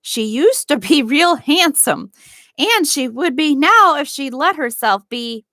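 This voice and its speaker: A woman voicing a kindly, loyal old male gardener in a storybook, speaking in a gentle, deliberate rustic dialect. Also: high-pitched, animated, no echo, clean studio sound, voice pushed up, exaggerated voice. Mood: earnest, loyal. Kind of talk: storybook read-aloud.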